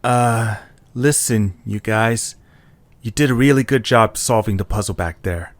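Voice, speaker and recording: A man's voice: fairly low pitch, measured pace, no echo, natural voice. A man acting an earnest, encouraging young male character in a scripted audio story, speaking conversationally. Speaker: narrator and voice of the main character.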